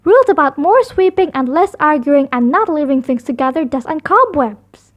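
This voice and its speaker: A woman's voice: high-pitched, animated, normal speed. A woman voicing a fed-up, no-nonsense mother bear in a storybook. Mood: firm.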